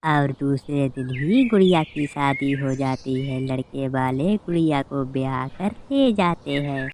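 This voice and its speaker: A woman's voice: rumbling low, measured pace, a little mellow, faint music behind. A woman reading scripted narration for a children's story.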